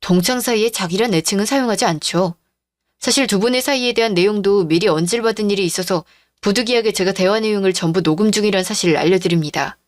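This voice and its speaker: A woman voicing a calm female lawyer in a radio drama, speaking in a formal, businesslike way. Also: mid-range voice, fast, no room sound, close to an everyday voice. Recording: dry studio voice-over with faint music.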